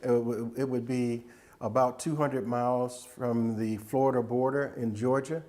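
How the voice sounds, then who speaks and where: A man's voice: medium pitch, flat delivery, unhurried, a little mellow. A man in his seventies chatting about his age and family roots. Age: 70s. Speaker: oral history interviewee.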